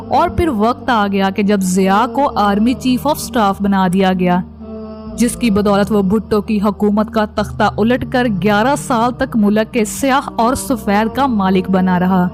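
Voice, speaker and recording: A woman reading a scripted documentary narration in a formal news-report manner. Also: medium pitch. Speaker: narrator and channel presenter. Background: music.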